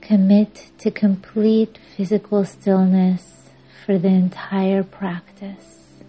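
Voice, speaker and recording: A woman guiding a yoga nidra meditation in a measured, soothing, instructing way with long pauses. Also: mid-range voice, level tone, dark tone. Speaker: meditation podcast host and guide. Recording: dull lo-fi audio under music. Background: music.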